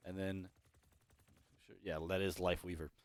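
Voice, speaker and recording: Deep-voiced man casting, voice going hoarse. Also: flat delivery, measured pace. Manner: rambling, self-correcting, chatty. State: losing his voice. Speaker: esports caster. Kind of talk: solo play-by-play commentary.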